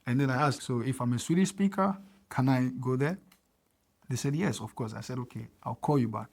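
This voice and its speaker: A man's voice: mid-range voice, a little fast, gravelly. Ghanaian man telling stories casually. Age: 30s. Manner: animated storyteller, acts out dialogue with laughs. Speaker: podcast guest. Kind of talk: casual storytelling interview.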